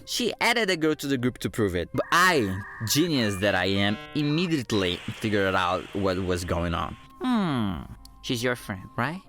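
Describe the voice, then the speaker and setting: A young man with a Brazilian accent narrates a comic story about himself, his pitch rising and falling a great deal. He mocks himself, swinging from low asides to loud outbursts. Faint music plays quietly behind him. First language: Portuguese. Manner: animated, self-mocking, jumps between mutters and outbursts. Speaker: animator and storyteller. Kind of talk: comedic animated storytime narration.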